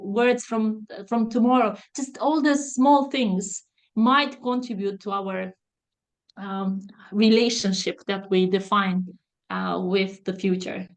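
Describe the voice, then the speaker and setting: A woman from Romania talking as an interview guest, her voice sitting in a middle pitch. She speaks thoughtfully, pausing often with soft fillers as she searches for words. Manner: thoughtful, hesitant with many fillers. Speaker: guest, strategic foresight consultant. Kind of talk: podcast interview, video call.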